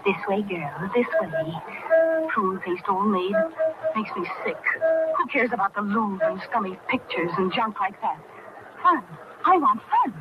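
A woman's voice: mid-range voice, sing-song, measured pace, loud music behind the voice. An actress playing a spoiled, rebellious young rich girl in old radio drama, with slangy, insolent, petulant delivery. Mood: sullen, scheming. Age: young adult. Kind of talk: 1940s radio acting. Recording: muffled, noisy old-time radio recording.

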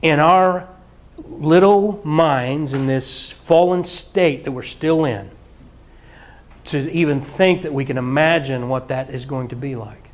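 Animated middle-aged preacher, higher-pitched man. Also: takes it slowly. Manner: animated, gesturing, mock-singing and bursts of laughter. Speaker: pastor. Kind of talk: sermon. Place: church hall with projector screen.

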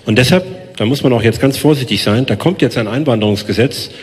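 A man's voice: mid-range voice, quick pace, darkish tone. A man on stage answering audience questions as a politician, speaking emphatically into a handheld mic and repeating phrases for stress. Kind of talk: public Q&A answer. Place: large hall, on stage. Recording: echoey hall.

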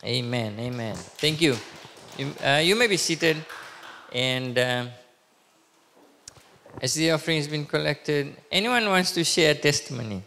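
A man in his thirties leads a congregation in prayer from the pulpit, speaking in a hesitant, halting way full of fillers. His voice is smooth but has a gravelly fry. The handheld mic picks him up in a church hall with a long reverb. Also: mid-range voice, a bit bright. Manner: hesitant, many fillers and restarts. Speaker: church service leader. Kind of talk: leading prayer and church announcements. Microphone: handheld microphone.

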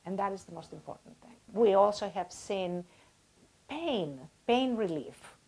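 Woman, 60s, expert guest, animated explaining, lapel mic, small office. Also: medium pitch, unhurried, husky. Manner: animated, gesturing, uses everyday comparisons. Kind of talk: explanatory interview talk.

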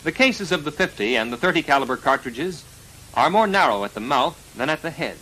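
An American man narrating a 1940s military instructional film in a formal, precise, measured delivery, on a vintage film soundtrack. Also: fairly high pitch, animated, sharp tone, low-quality recording. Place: voice-over recorded for a film.

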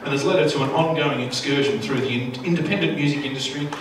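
A man's voice: mid-range voice, quick pace. An Australian man, around his thirties, introducing people to a live audience as moderator in a jokey, informal way. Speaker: panel moderator. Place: indoor event room with large windows. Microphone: handheld microphone through a PA. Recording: very echoey room, distant, clear background noise.

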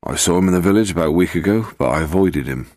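A man's voice: low voice, expressive, fast talker, darkish tone, dry recording, studio quality. A man voicing a surly, resentful farmer in an audiobook, curt and blunt. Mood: grudging. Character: surly bitter male farmer, resentful and indebted.

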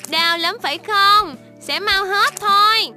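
A woman's voice: extremely high pitch, slow pace, sharp tone, faint music behind, heavily acted. A woman voicing a cheerful, caring little baby shark doctor in a children's cartoon, encouraging and sing-song.

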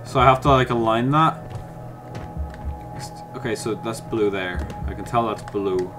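A man's voice: lowish voice, a little slow, music in the background. A man giving let's-play commentary, chatting to himself while he works out puzzles and snapping at the game in mock frustration. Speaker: gaming YouTuber.

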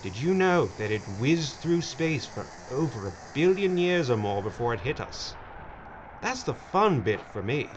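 An actor playing a gleeful mad villain in an audio drama, mocking, theatrical and drawling. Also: male voice, mid-range voice, sing-song, moderate pace, highs cut off, a little hiss or hum, close to an everyday voice. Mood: gloating. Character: gleeful mad villain, smug greedy schemer.

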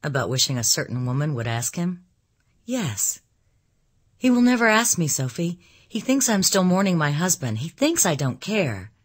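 A woman narrator acts out a vain society widow for an audiobook. She sounds wistful and proud, with a plaintive, theatrical edge. The pitch moves widely and the tone is slightly husky. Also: very animated, moderate pace, exaggerated voice. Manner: dramatic, plaintive. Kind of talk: audiobook character dialogue.

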